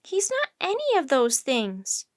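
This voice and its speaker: A high-pitched, tender-hearted little girl from a storybook, acted out by a woman narrator. She pleads and protests with emphatic, indignant force. The recording is close and dry. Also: lively intonation, normal speed, dry recording.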